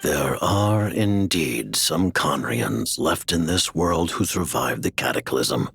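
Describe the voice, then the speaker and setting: A secretive, commanding man speaks in a very deep, gravelly voice. His pitch rolls widely, but his delivery stays composed and cryptic. Faint low noise hums behind him. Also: animated, slow, a bit bright, heavily acted. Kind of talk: video game voice acting.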